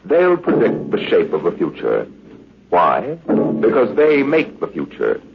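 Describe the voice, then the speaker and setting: A man acting as a grave, portentous narrator in a 1950s American radio drama, speaking deliberately with an American accent. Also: lowish voice, wildly swooping pitch, vocal fry, heavily acted. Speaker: announcer and narrator. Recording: old muffled radio recording with loud music.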